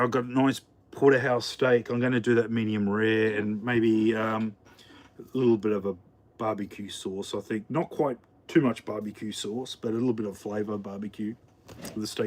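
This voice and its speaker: A man's voice: on the low side, steady tone, moderate pace. An Australian man hosting a casual livestream from his home kitchen, chatting to viewers while he cooks, rambling with lots of fillers and restarts. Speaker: livestream host. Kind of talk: casual live chat while cooking.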